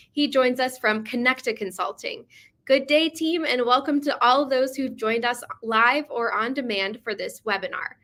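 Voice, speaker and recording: A woman's voice: a little high, sharp tone. A woman moderating a business webinar, with a polished, upbeat delivery. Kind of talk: scripted moderation.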